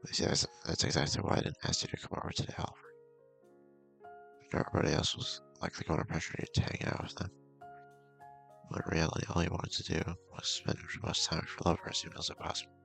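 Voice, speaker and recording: A man voicing a clingy, possessive young woman in a horror visual novel, halting and hushed, with music behind. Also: sits low, huge pitch swings, vocal fry, heavily acted. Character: clingy, brooding young female best friend, intense and possessive.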